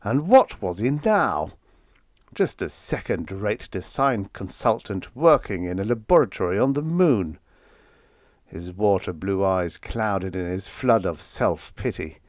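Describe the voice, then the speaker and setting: Expressive low-pitched male audiobook narrator. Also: measured pace, dry recording, studio quality. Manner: expressive, dramatic. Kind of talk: scripted dramatic story narration.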